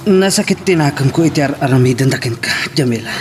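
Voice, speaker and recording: A man's voice: mid-range voice, lively intonation, fast, background noise, natural voice. A man playing an earnest, concerned young man in an audio romance drama. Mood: hurt. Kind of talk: Ilocano radio-style romance drama acting.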